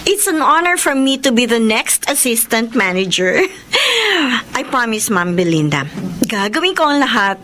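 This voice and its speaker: An actress with a Filipino accent playing a smug, scheming, ambitious office superior in a radio drama, with an affected manner. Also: female voice, fairly high pitch, very animated, unhurried, sharp tone, slight background noise, heavily acted. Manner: bossy, affected, gushing upward.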